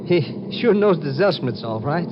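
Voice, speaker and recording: An actor playing a simple, excitable sidekick who stammers and mangles his grammar in an old radio drama. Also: a little high, moderate pace, natural voice. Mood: naive wonder. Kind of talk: 1950s radio drama acting. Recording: muffled, noisy old radio recording.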